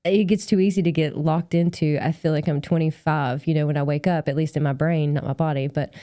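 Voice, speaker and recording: A woman's voice: deep voice, steady tone, fairly quick, mellow, no echo, studio quality. A woman in her forties talking casually as a podcast host, in a chatty, rambling and informal way. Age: 40s. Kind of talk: casual conversational interview.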